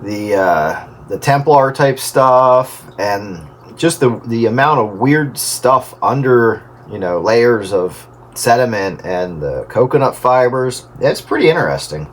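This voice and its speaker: A man's voice: mid-range voice, lively intonation, slow, few pauses, a little hiss or hum. A man talking in a loose, conversational way in a casual radio round-table.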